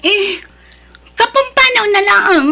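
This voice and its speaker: A woman's voice: extremely high pitch, lively intonation, slow, pushed and bright, exaggerated voice. A woman with an Ilocano accent playing a rich man's mistress who asks anxious, defensive questions. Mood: startled. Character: young mistress of a rich married man, anxious. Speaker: voice actor in an audio drama. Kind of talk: Ilocano audio drama acting.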